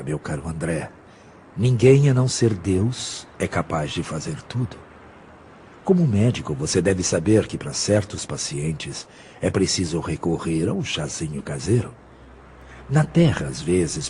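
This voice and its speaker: Audio drama role: wise, humble male spirit mentor, mellow and gentle, with noticeable background noise. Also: low voice, lively intonation, a little slow. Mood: gently instructive.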